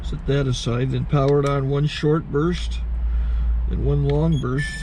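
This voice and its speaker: Man in a truck cab, mellow, halting tech demo, faint road noise behind. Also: mid-range voice. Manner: relaxed, halting, self-correcting. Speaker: channel host, recording taper. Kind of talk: casual how-to demonstration. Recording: faint car and outdoor noise.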